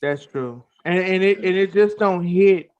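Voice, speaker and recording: A man's voice: sits high, measured pace, smooth. A man on a talk show panel, casually debating sports in a questioning, conversational way. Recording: slightly dull, lo-fi audio.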